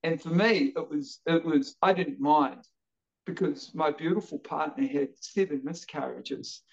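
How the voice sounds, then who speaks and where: A man's voice: on the high side, a little slow, creaky, lo-fi sound. A man in his late fifties with a New Zealand accent, chatting casually as a podcast guest, telling stories energetically and often repeating his words as he goes. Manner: energetic, stammering repetitions. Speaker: podcast guest, jiu-jitsu coach. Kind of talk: casual chat, animated storytelling.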